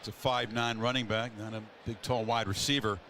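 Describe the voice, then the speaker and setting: A man's voice: mid-range voice, flat delivery, fairly quick. A man doing professional play-by-play on a football broadcast. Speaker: TV play-by-play announcer. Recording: noisy game broadcast feed.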